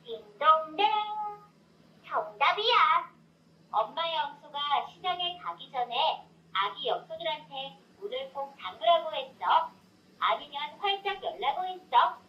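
A woman's voice: high voice, animated, measured pace. A woman's synthetic storyteller voice reading a children's tale and asking playful quiz questions, cheering the child on, in a roomy, distant, dull lo-fi recording. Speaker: talking doll storyteller.

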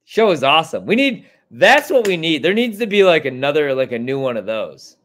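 A man's voice: a little high, big pitch swings, brisk, fairly bright tone. An American man in his thirties chatting casually on a sports betting podcast over a video call, blunt and rambling, with plenty of swearing. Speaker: guest sports betting analyst. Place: home kitchen, on a video call.

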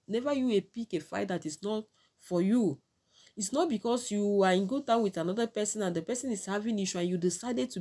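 A woman's voice: on the low side, dark tone. A middle-aged woman with a Nigerian accent, giving opinionated, admonishing commentary straight to her viewers. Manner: admonishing, proverb-quoting. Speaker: vlogger commentator. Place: small indoor room with curtains.

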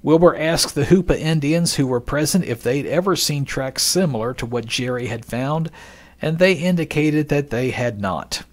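A man giving scripted, expository narration, reading out quoted passages in a measured manner as a podcast narrator. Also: fairly high pitch, a little hiss or hum.

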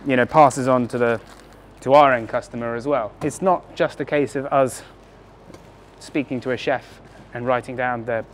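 A man's voice: mid-range voice, fairly even intonation, moderate pace, a little hiss or hum. A man who supplies produce, explaining his work earnestly and step by step, with plenty of fillers. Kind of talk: explanatory interview.

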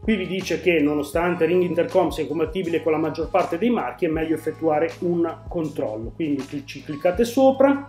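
A man's voice: on the high side, lively intonation, normal speed, few pauses, darkish tone. A man, presenting a product to camera in a casual, explanatory way. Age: 40s. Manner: explanatory, conversational. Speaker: tech channel host. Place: small room at home, indoors. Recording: echoey room, music underneath.

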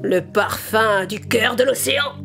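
High, slow, gloating cartoon sea witch, cackly and plotting, music under it. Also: vocal fry. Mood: scheming. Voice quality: gloating, theatrical, with cackling laughs. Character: scheming sea witch, sister of the sea king. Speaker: voice actress. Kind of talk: cartoon voice acting.

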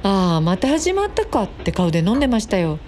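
A woman playing a chatty, teasing female office worker in a radio drama, expressive and gossipy. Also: mid-range voice, brisk, slight background noise, close to an everyday voice.

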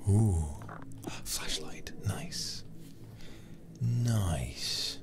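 A man streaming a game in ASMR style, murmuring in a hushed, breathy, gravelly whisper close to the microphone. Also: on the low side, lively intonation, extremely slow, music in the background. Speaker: livestream host, gamer.

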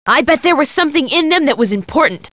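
A woman's voice: sits high, animated, fast talker, a bit bright, no room sound. An actress playing a sensible, wry young friend of a girl detective, giving matter-of-fact hints in a video game. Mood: wry, skeptical.

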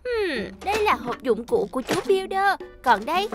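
A young male talking school bus in a children's cartoon. The voice is high and boyish, with an eager, big-brotherly, reassuring manner. Music plays clearly behind it. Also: big pitch swings, moderate pace. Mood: helpful. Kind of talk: animated children's cartoon dub.

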